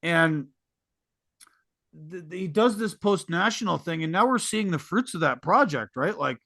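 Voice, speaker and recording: Middle-aged podcast host, raspy gravelly voice pitched somewhat high, sarcastic and rambling. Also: male voice, expressive, normal speed, sharp tone, no room sound, studio quality. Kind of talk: casual podcast conversation.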